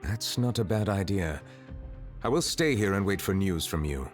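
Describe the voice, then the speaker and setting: An actor playing a stately, reserved judge who speaks with measured, formal courtesy. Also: male voice, deep voice, animated. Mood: composed. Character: stately, reserved high judge, grave and polite. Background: game music.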